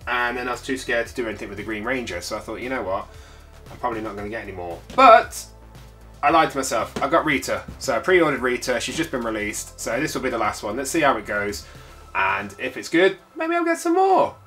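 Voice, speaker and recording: A man's voice: mid-range voice, very animated, fairly quick, bright, forceful tone, faint music behind. A man with a congested, bunged-up voice, chatting casually as he unboxes a collectible. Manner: chatty, rambling, with frequent filler sounds. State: stuffy, congested from a cold. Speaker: toy collector channel host.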